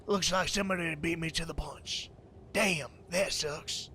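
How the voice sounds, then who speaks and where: A man's voice: on the high side, measured pace, bright, forceful tone. A man playing a callous, menacing younger gunman in an amateur script read. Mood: callous, annoyed. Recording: noisy home microphone recording.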